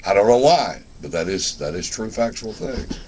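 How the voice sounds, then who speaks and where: A man's voice: lowish voice, lively intonation, moderate pace, a little mellow, a little hiss or hum. A man hosting a casual live radio interview in an informal, rambling, conversational way. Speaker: radio show host.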